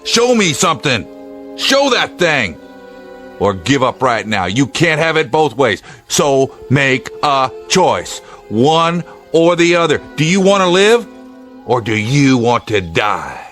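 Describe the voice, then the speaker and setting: An actor playing a tough, commanding state trooper in a radio drama, giving urgent, clipped orders. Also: male voice, medium pitch, very animated, slow pace, fairly bright tone, soft background music. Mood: challenging.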